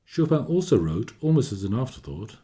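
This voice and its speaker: A man delivering scripted documentary narration in a measured, formal manner. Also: mid-range voice, sing-song, mellow.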